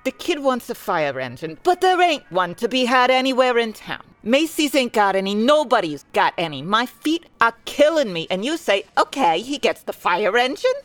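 Woman as a high-voiced, tough-talking city mother. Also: sing-song, moderate pace, few pauses, fairly bright tone, soft background music. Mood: exasperated. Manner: blunt, slangy, sardonic.

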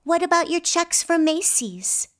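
A woman's voice: very high voice, animated, a little slow, a bit bright, heavily acted. An actress playing an earnest, precocious eight-year-old girl in a radio play, polite and precise.

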